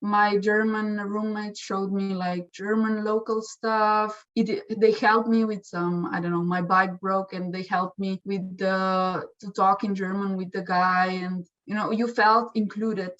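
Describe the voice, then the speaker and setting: A woman's voice: mid-range voice, flat delivery, unhurried, few pauses, a bit bright, dull audio. A young woman sharing her experience as an exchange student in a casual testimonial, speaking with many restarts and fillers. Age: young adult.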